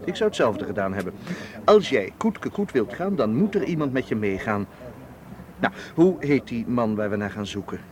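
An actor in a radio play playing a calm, methodical man who offers himself as a bodyguard, speaking in a measured, logical way. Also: mid-range voice, slight background noise, close to an everyday voice. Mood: assertive, reasoning. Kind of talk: radio drama acting.

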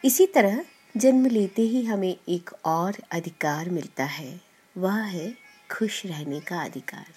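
A woman podcast host reading a short story in a measured, deliberate storyteller's delivery. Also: on the low side, a little mellow, breathy edge. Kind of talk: scripted storytelling narration. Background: background music.

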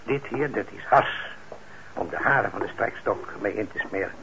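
An actor in a radio play as a kindly, trusting middle-aged shopkeeper, speaking gently and haltingly with patient encouragement. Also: male voice, fairly low pitch, gravelly. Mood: encouraging. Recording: dull, noisy old radio recording.

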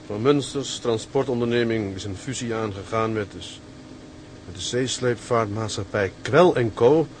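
An actor playing an earnest, forthright young ship's mate in a radio play. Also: male voice, on the low side, moderate pace, slight background noise, lightly acted. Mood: reading out.

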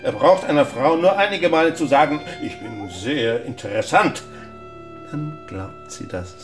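A grave country pastor in a radio drama. He speaks with brooding restraint and slow weight, over faint music. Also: fairly high pitch, animated. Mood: bitter, sarcastic.

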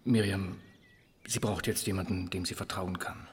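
An actor playing a discreet, soft-spoken and protective family friend in a radio drama. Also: male voice, sits low, steady tone, moderate pace, close to an everyday voice. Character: protective, smooth male family friend of widow. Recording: reverberant room, faint noise.

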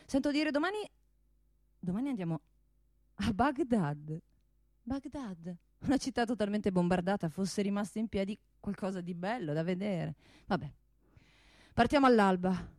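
A woman's voice: mid-range voice, expressive, normal speed, pauses often, no room sound, studio quality, lightly acted. A woman playing a proud, world-weary talking pistol in a radio theatre monologue, bossy and sardonic in a dramatic storytelling way.

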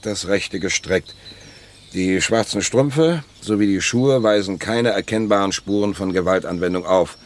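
An actor playing a brisk, authoritative public prosecutor in a radio crime drama, speaking in clipped, formal dictation. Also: male voice, low-pitched. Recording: noisy outdoor ambience with birds.

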